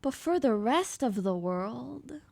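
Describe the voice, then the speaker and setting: Young canadian woman streamer, bit high voice, blunt and swears casually. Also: animated, slow, vocal fry, darkish tone. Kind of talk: casual reaction stream commentary.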